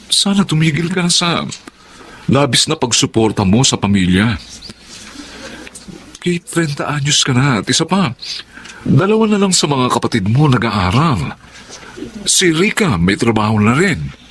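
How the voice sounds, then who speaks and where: A man's voice: mid-range voice, a bit bright, slight background noise. A man playing Romel, a firm, frustrated fiancé. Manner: firm, pressing.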